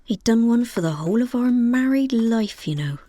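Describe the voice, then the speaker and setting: A scatty widow in a comedy radio play. Her fairly high, breathy voice rambles on in an earnest, vague and oddly matter-of-fact way. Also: animated, moderate pace, mellow. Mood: wistful. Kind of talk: comic audio drama acting.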